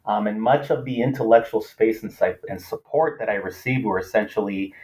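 A man's voice: fairly low pitch, brisk, darkish tone, rough recording. A man from Los Angeles whose first language is Spanish, speaking as an author in a thoughtful academic interview, with frequent fillers and restarts. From Los Angeles, United States. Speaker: author, political scientist.

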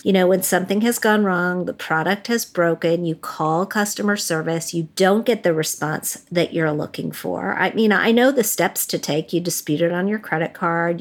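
Woman hosting a money podcast. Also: on the low side. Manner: brisk, conversational, plain-spoken. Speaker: podcast host. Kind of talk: casual chat and read ads.

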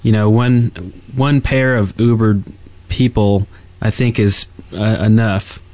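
A man's voice: lowish voice, fairly even intonation, slow, a little mellow. A man talking casually as a co-host in a gaming podcast discussion.

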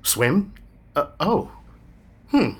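An actor playing an eager but hesitant designer who stammers on the phone. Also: male voice, fairly high pitch, very animated, extremely slow, breathy edge, exaggerated voice. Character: eager, timid merchandise designer man.

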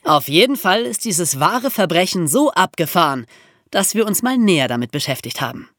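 A man's voice: high-pitched, big pitch swings, normal speed, a bit bright, dry recording, clean studio sound. A man co-hosting a podcast, telling and explaining a historical story from a script. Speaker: podcast co-host.

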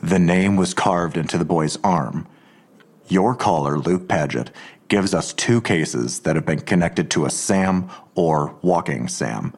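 Menacing, very low-voiced male detective. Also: unhurried, vocal fry, heavily acted. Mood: suspicious, pressing. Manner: pressing, accusatory, pointed questioning. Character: menacing, suspicious male police detective interrogating a woman. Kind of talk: audio drama acting.

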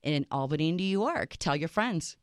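Woman co-host, Serbian first language, chatty and quick, smooth and dry. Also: deep voice, expressive, dry recording. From Minneapolis, Minnesota. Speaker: radio show co-host. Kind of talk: casual radio talk.

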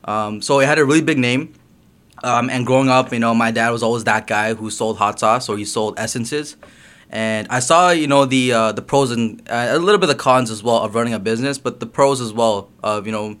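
A man's voice: mid-range voice, a little fast, clean tone. Easygoing young man, loose and chatty. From Guyana. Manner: relaxed, many fillers like um and you know. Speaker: guest, hot sauce business owner. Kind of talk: casual interview chat.

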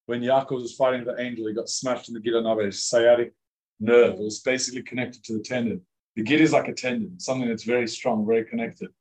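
A male rabbi speaking to a roomful of students in an easygoing, conversational way. His voice sits at a mid pitch, and his sentences are loose and scattered with fillers. He stops often to ask the audience questions. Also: level tone, fast, darkish tone, slightly rough, rough recording. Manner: casual and chatty, many "like" fillers, questions to the audience. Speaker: rabbi teaching a class. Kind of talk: informal interactive lecture. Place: room with a live audience of students.

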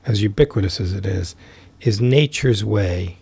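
Mellow, husky-voiced man telling a story. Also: fairly low pitch, dry recording. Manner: unhurried, thoughtful, many fillers and restarts. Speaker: podcast guest, tai chi teacher. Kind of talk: reflective storytelling in conversation.

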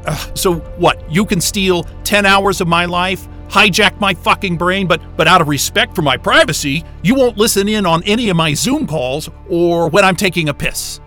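A man's voice: high-pitched, normal speed, hardly any pauses, sharp tone, faint music behind. A man playing an angry, sarcastic, foul-mouthed astrophysicist in a naturalistic sci-fi audio drama, sounding exasperated and incredulous. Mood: sarcastic, resentful.

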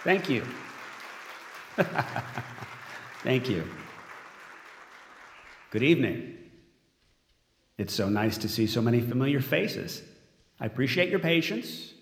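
A man's voice: mid-range voice, expressive, slow pace, long pauses, a little hiss or hum. An actor playing a smug, controlling tech mogul in an audio drama, giving a polished, self-satisfied speech as he unveils his android. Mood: gracious, confident. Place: large event room as staged, crowd behind. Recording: echoey hall with crowd behind.

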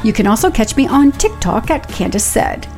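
A woman's voice: fairly high pitch, very animated, normal speed, a little mellow. Woman podcast host, faint music behind. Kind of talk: interview hosting.

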